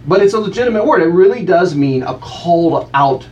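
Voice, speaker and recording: Animated teasing man on radio. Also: high voice, a little fast, far from the mic, lo-fi sound, a little hiss or hum. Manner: teasing, animated, breaks into chanted cheers. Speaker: guest co-host. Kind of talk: playful radio banter.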